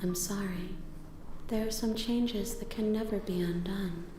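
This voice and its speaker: A woman playing a solemn, tender otherworldly being in a visual novel game, speaking slowly and poetically. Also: mid-range voice, steady tone, mellow, natural voice. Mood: solemn, gentle. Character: solemn, tender otherworldly entity of the long quiet. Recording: distant, heavily echoing, music underneath.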